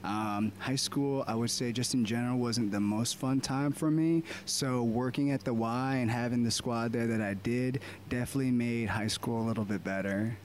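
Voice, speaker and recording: A man in his twenties from Baltimore, a DJ talking casually and reflectively about his life as an interview guest, with frequent "uh" pauses. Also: medium pitch, a little hiss or hum. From Baltimore, USA. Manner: relaxed, reflective, many "uh" pauses. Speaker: podcast guest, DJ.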